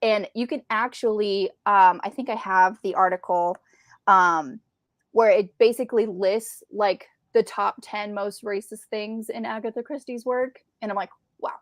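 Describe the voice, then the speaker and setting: A woman's voice: medium pitch, steady tone, measured pace, no echo. Woman, close dry sound. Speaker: co-host of a book discussion. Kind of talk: casual live discussion of classic books.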